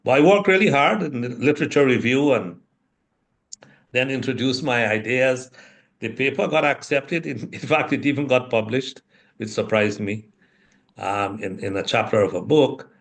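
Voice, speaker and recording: An older man from Guyana, an interview guest speaking in a measured, thoughtful way with many pauses. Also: mid-range voice, a bit bright. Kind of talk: reflective interview talk.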